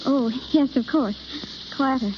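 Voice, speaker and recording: A woman's voice: high-pitched, measured pace. An actress playing a shy, eager young acting pupil in a 1930s radio play, with an earnest, girlish, hesitant delivery. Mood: flustered, apologetic. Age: young adult. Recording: muffled, hissy 1930s radio broadcast recording.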